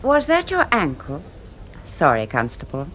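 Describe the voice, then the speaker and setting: A tart, swooping nurse. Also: female voice, low-pitched, wildly swooping pitch, measured pace, fairly bright tone, a little hiss or hum, full character voice. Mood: tartly sweet. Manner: falsely sweet, curt. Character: brisk, tart, mock-polite female hospital nurse. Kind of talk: radio drama acting.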